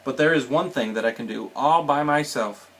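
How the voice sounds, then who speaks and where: A man's voice: mid-range voice, normal speed, a bit bright, close to an everyday voice. A man voicing an anxious, grumbling toad from a children's storybook, emphatic and dramatic. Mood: grumpy. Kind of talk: children's storybook read-aloud.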